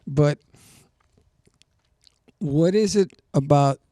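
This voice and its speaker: A man's voice: a little high, very slow, dark tone, no room sound, studio quality. A man hosting a cable access variety show, chatting casually with a guest and cracking boastful jokes. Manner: joking, boastful banter. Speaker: host. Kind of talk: casual chat. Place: studio.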